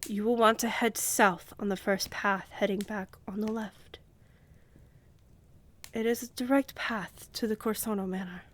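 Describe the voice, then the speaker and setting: Woman voicing a slow-speaking, regal vampire countess. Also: mid-range voice, steady tone, slight background noise, lightly acted. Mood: composed, gracious. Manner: measured, regal, condescendingly affectionate. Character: poised, commanding young vampire countess, coolly indulgent. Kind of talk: fantasy roleplay audio drama.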